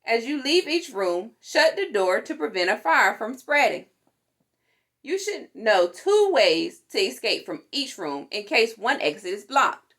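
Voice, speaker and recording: A woman reading a children's safety book aloud in an animated, instructive manner. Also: fairly high pitch, unhurried. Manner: animated, instructive, acts out sound words. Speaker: storytime reader.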